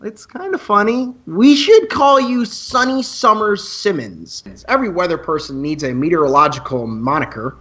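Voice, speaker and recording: A high-pitched, playful high school boy played by a man chats as co-host of a campus radio show. He talks in wordy announcer patter, in an amateur radio drama with a slightly muffled lo-fi recording. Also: expressive, moderate pace, hardly any pauses, pushed and bright, full character voice. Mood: playful, wry. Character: male eleventh-grade student co-hosting a campus radio show, playful, wordy.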